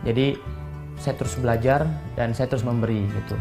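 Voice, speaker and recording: A man's voice: mid-range voice, steady tone, fairly quick. A twenty-year-old man, explaining in an informal interview style and often tagging phrases with a casual filler. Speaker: young entrepreneur being interviewed. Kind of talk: interview talk, explaining his business. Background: guitar music.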